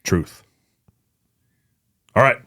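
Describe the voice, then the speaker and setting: A man's voice: drawn-out words, bright, forceful tone, no room sound, clean studio sound. A man hosting a podcast, talking casually about gear in a blunt, joking, sweary way. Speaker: podcast host.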